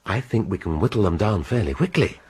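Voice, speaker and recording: An actor playing a dry, erudite middle-aged police inspector in a radio drama, wry and precise. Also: male voice, on the low side, expressive, a little fast, darkish tone, a little hiss or hum, natural voice. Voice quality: wry, precise, dryly ironic.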